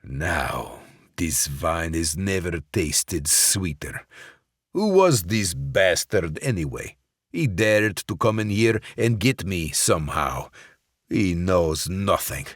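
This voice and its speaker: A man voicing a cold, menacing Russian crime boss who gives slow, commanding threats. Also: low voice, lively intonation, no echo, studio quality, exaggerated voice.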